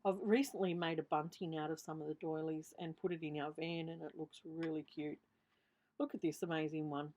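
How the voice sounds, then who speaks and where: A woman's voice: sits low, flat delivery, fairly quick, a little mellow. A woman in her fifties with an Australian accent and a slight lisp, giving a casual, rambling show-and-tell voiceover as a craft vlogger, often trailing off and correcting herself. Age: 50s. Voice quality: slight lisp from a missing tooth. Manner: chatty, unscripted, often trailing off and self-correcting.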